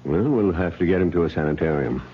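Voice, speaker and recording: An actor playing a calm, soothing but dismissive doctor in a radio drama, measured and placating. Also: male voice, sits low, narrow, tinny audio. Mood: matter-of-fact. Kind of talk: 1970s radio drama acting. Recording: thin, noisy vintage radio recording.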